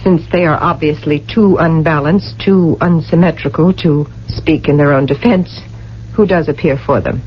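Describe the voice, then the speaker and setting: A woman in a radio drama playing a coolly authoritative tribunal official, formal and measured. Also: deep voice, natural voice. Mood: cool, stern. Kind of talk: 1980 radio drama acting. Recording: dull old radio recording, faint hum.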